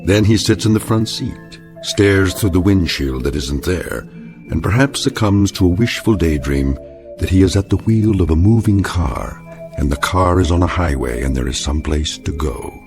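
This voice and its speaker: The grave narrator of an eerie radio tale, with a very deep voice that rises and falls widely. He is solemn and deliberate, with music playing clearly behind him. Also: animated. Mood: solemn, ominous. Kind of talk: scripted radio drama narration.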